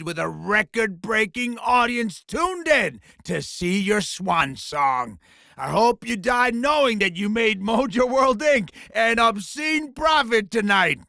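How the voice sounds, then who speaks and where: A man's voice: high voice, gravelly, bright, forceful tone, no room sound, studio quality, exaggerated voice. A man voicing a bombastic, gleefully cruel alien game-show host villain in a video game, with a hammy, grandiose announcing delivery. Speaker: voice actor.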